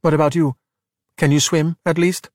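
A man's voice: a little high, level tone, normal speed, darkish tone, no room sound, studio quality, pitched above the performer's own voice, close to an everyday voice. A man voicing a bossy, exasperated, spirited young Italian girl who drops Italian words into emphatic talk in a children's audiobook. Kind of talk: audiobook character voice in a children's story.

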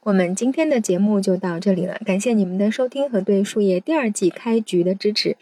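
Female podcast host, medium pitch, scripted presentation. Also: a little fast, dark tone.

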